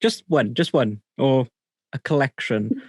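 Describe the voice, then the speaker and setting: A man's voice: medium pitch, sing-song, measured pace, a little mellow, slightly rough, no echo. Hesitant male podcast host on call. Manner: hesitant, many ums, playful. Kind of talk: casual group video chat. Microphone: video call.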